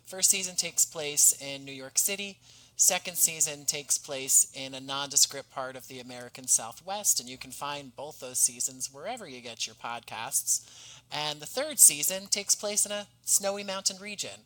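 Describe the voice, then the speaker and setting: A man speaking as an interview guest, articulate, measured and courteous in conversation. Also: a little high, lively intonation, sharp tone, low-quality recording. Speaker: podcast guest, playwright and writer.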